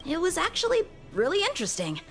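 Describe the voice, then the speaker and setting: A woman playing a lively, earnest young military trainee in a video game, chatty and expressive. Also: extremely high pitch, quick pace, faint music behind, exaggerated voice. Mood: eager, reminiscing. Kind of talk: scripted video game voice acting.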